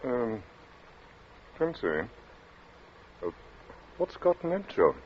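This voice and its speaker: An actor playing a timid, flustered gentleman in an old radio thriller, talking in hesitant, mumbled fragments as though cornered. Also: medium pitch, big pitch swings. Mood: bewildered. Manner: halting, mumbling, stammering hesitancy. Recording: muffled, noisy vintage radio recording.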